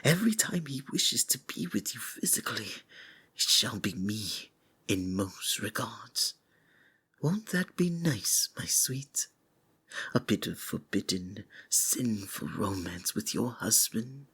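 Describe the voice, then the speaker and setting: A man playing a sinister, seductive cult preacher in an intimate ASMR roleplay, husky and breathy, often sinking into whispers, with archaic, formal endearments. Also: fairly low pitch, big pitch swings, slow, fairly bright tone, exaggerated voice. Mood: tempting, gloating.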